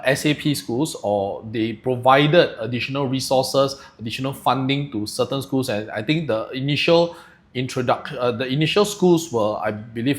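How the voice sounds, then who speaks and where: A young man with a Singaporean English accent co-hosting a podcast, talking casually and thinking aloud with lots of fillers. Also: medium pitch. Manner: relaxed, thinks aloud with frequent fillers and "lah". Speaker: podcast co-host. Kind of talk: casual discussion.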